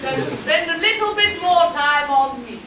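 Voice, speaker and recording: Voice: high-pitched, expressive, normal speed. A performer playing a vain, theatrical male pirate captain in a stage pantomime, pompous and declamatory. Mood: self-pitying. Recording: echoey theatre hall, far from mic, noisy.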